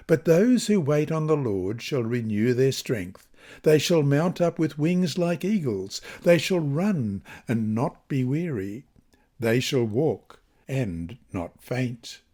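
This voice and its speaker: A man with a soft-edged, mellow voice reads a devotional study lesson aloud. His delivery is measured and deliberate, with lively rises and falls in pitch that give the scripted text and scripture an expressive shape. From Australia. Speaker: reader of Bible study lessons.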